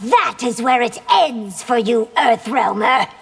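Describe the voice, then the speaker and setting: High-voiced, imperious warrior empress. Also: female voice, expressive, slow pace, gravelly, faint music behind, heavily acted. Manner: imperious, challenging. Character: proud stern warrior empress of another realm. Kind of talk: video game character acting.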